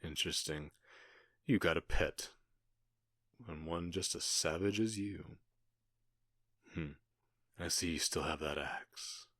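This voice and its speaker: Casting: menacing male gang boss played by a man for ASMR roleplay, low and unhurried, smug, taunting, very dry close sound. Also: fairly even intonation, fairly bright tone, dry recording, heavily acted. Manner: smug, taunting, drawn-out storytelling.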